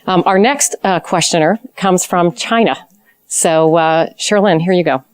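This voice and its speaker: A woman moderating a live webcast Q&A, handing questions to the panel. Her voice is lowish and smooth, and it sounds dry and close to the mic. She speaks with small hesitations. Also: unhurried, no room sound.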